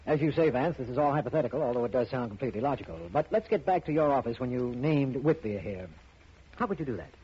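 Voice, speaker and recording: An actor playing a polite, businesslike district attorney, measured and courteous, in 1940s radio acting. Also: male voice, mid-range voice, expressive, breathy edge. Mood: calm. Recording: muffled old radio broadcast.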